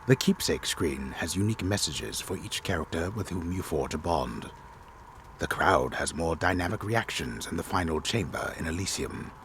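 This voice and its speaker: A disembodied male game storyteller speaks from deep down in his range. He is solemn and deliberate, reading dramatically with sweeping, animated intonation. A faint ambient noise sits behind the voice. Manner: theatrical, deliberate, solemn delivery. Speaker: narrator.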